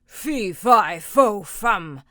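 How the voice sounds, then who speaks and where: A woman's voice: medium pitch, animated, drawn-out words, bright, forceful tone, no echo, studio quality, full character voice. A woman voicing a huge, greedy male ogre who bellows and growls his threats in a storybook reading. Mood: gruff.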